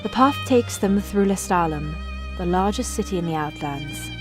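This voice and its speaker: A woman giving scripted dramatic narration as a storyteller, solemn, formal and measured. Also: on the low side, expressive, over music.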